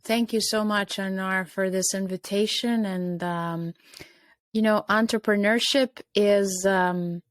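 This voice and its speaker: A woman's voice: medium pitch, fairly even intonation, takes it slowly, dry recording, studio quality. A middle-aged woman from Albania, a guest in an interview, speaking reflectively with many fillers.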